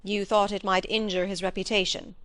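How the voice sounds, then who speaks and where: A brisk, authoritative gentleman of the manor, read by a woman in a classic detective storybook. The voice is mid-pitched and closely recorded with a dry sound, and the delivery is direct and questioning. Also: level tone, no echo, lightly acted. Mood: stern, questioning. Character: brisk young gentleman baronet, master of the house. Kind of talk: audiobook character dialogue.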